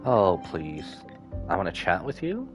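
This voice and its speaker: A man streaming a game with casual, informal live reactions and expressive asides. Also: sits low, measured pace, dull audio. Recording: faint game music behind.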